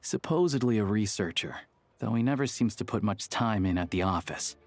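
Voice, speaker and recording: A man's voice: fairly low pitch, animated, normal speed. An actor playing an easygoing, knowledgeable local man in a video game, talking in a casual, conversational way. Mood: relaxed, friendly. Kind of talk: video game character dialogue.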